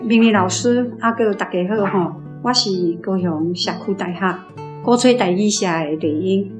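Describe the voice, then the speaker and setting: A woman's voice: medium pitch, normal speed, roomy sound, music in the background. A woman from Taiwan, a reading club member, talking through her thoughts with many repeats and fillers. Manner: repetitive, filler-heavy. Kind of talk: sharing reading impressions.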